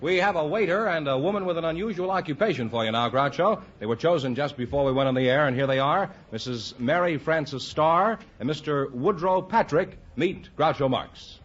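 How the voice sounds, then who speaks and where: A man's voice: on the high side, expressive, fairly quick, hardly any pauses. An American man announcing on an old radio broadcast in a polished, emphatic salesman's style. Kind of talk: 1950s radio commercial and announcing. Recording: muffled 1950s radio broadcast recording.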